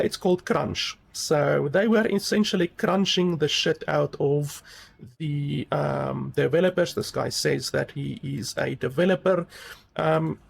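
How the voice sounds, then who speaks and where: A man's voice: fairly high pitch, creaky, slightly rough. A man giving a rambling, casual game review, with many fillers and emphatic repeats.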